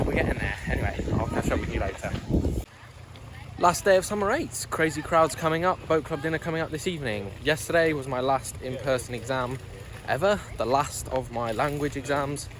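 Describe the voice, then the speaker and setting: A man's voice: mid-range voice, heavy background noise. A young man, giving frank, easygoing video diary updates to a handheld camera. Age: young adult. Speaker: student vlogger.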